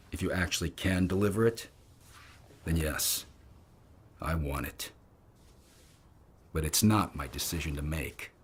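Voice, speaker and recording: Low, husky, guarded underworld buyer. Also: male voice, exaggerated voice. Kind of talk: audio drama acting.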